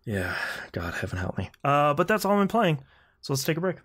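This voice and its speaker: A man's voice: fairly high pitch, very animated, fairly quick. A man hosting a video game podcast in casual conversation, talking in a rambling, enthusiastic and wry way. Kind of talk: casual podcast chat about video games.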